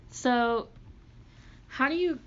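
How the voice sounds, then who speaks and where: A woman's voice: sits high, slow pace, fairly bright tone. A young woman interviewing a singer, asking casual questions with hesitant fillers. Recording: dull lo-fi audio with faint noise.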